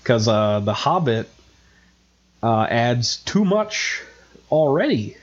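A man's voice: medium pitch, very animated, takes it slowly, muffled recording. A man with a head cold and a nasal, stuffed-up voice, co-hosting a podcast in casual, rambling chat full of fillers. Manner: rambling, self-mocking, many fillers. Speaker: podcast co-host.

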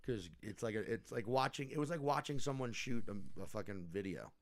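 A man guesting on a podcast, telling stories in animated bursts, swearing freely and acting out what people said. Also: medium pitch, a little fast. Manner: animated storyteller, swears freely, acts out quotes. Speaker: guest comedian. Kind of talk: casual podcast chat.

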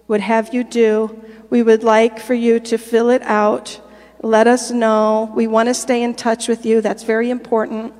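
A pastor's wife making church announcements and reading scripture in a friendly, direct way. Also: female voice, fairly high pitch, a little mellow, big-room sound. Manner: friendly, direct address with fillers. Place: church sanctuary.

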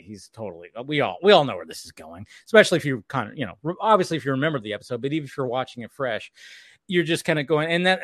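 A man's voice: mid-range voice, fairly bright tone, dry recording, studio quality. A man giving a casual, rambling recap commentary as a co-host, slipping into conversational quotes as he goes. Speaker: podcast co-host.

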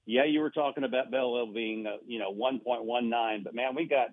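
A man talks casually on a radio show and rambles, tripping over his words with restarts and fillers. His voice comes through a tinny, phone-like line with no room echo at all. His pitch sits in the middle range. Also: fairly even intonation, moderate pace. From Argentina. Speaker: radio guest.

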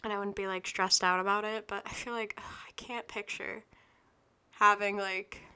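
A young woman in her early twenties with a bright, clear tone, talking alone into her podcast mic. She rambles and keeps restarting her sentences, loose and chatty. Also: mid-range voice, level tone, normal speed. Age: early 20s. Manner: scattered, self-interrupting, chatty. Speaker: podcast host. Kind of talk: rambling solo podcast chat.

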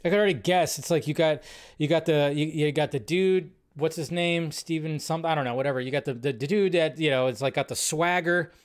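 Sardonic, higher-voiced man reacting off the cuff. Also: brisk. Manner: rambling, sardonic, swears casually. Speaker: host of a movie news live show. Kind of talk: casual live trailer reaction commentary.

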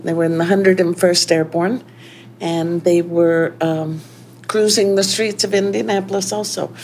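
An older woman telling a lively story about her past in a relaxed interview, often laughing as she talks. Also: fairly low pitch, measured pace, a little hiss or hum. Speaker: restaurant owner.

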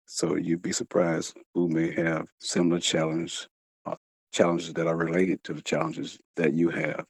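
A man with a very low, creaky voice talks reflectively as an interviewed author. He is earnest and halting, stumbling and repeating words with a speech impediment. The sound is dry and close. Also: completely flat delivery, no echo. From United States.

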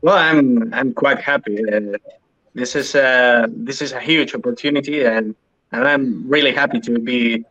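A man from Spain with a Spanish accent, a podcast guest answering interview questions in halting English with many restarts. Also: medium pitch, fairly bright tone, dull audio. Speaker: podcast guest, sim racing driver.

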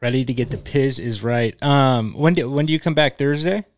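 A man's voice: medium pitch, no echo, clean studio sound. A young man hosting a casual podcast, easygoing and jokey, tossing questions to his friends. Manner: easygoing, asks questions, quick jokey asides. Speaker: podcast host. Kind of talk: casual chat.

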